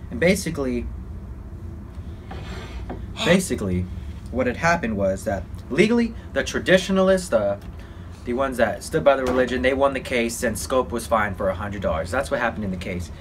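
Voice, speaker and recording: A man giving an informal, halting explainer as a mock news report, in simple slangy terms. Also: medium pitch, expressive, a little hiss or hum. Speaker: presenter in a student history project.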